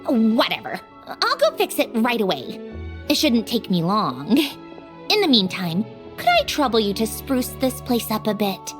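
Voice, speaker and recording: A woman's voice: on the high side, sing-song, a little slow, soft background music. A woman playing a proud, haughty young mechanic in a game dub, with a self-assured, lofty manner. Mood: flustered, brisk. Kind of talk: English anime game dub acting.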